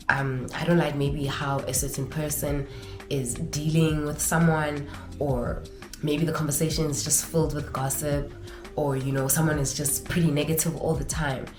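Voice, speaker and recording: Vlogger, woman, young adult, low voice, nasal and stuffed up, echoey room with music underneath. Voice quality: nasal from congestion. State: congested. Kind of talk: casual advice vlog.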